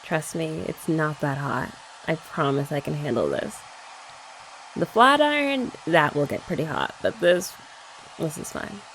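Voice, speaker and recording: A woman's voice: low-pitched, sing-song, measured pace, a bit bright, a little hiss or hum, rough recording. A young woman doing a chatty ASMR girlfriend roleplay, explaining each step and teasing her listener. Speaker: ASMR creator.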